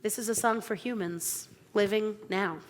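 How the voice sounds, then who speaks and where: A woman rabbi giving an informal sermon in a halting, conversational way with many fillers. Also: mid-range voice, over music. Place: synagogue sanctuary. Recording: long hall reverb in a sanctuary.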